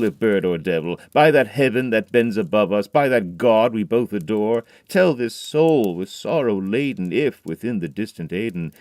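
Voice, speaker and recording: A man voice acting a grieving, haunted man in an audio drama, reading dramatic verse that swings from hushed brooding to loud, pleading outbursts. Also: fairly low pitch, no echo. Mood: anguished.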